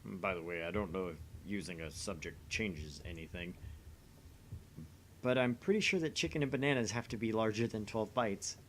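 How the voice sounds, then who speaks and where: A man's voice: on the low side, moderate pace, fairly bright tone, a little hiss or hum. A man doing an acted reading of dialogue and narration from a visual novel game. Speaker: voice reader in a visual novel playthrough.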